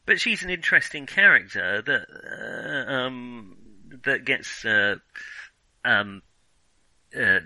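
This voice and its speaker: A man co-hosting a casual film discussion, speaking in a hesitant, halting way with thoughts that trail off. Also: medium pitch, lively intonation, bright, forceful tone, dry recording, low-quality recording. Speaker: film podcast co-host.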